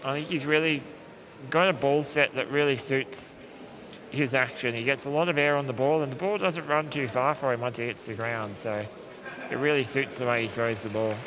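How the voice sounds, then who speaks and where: A man giving live sports commentary, calm and explanatory, often restarting his sentences as he thinks aloud. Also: mid-range voice, moderate pace, crowd noise. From Australia. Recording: live stream with steady background noise.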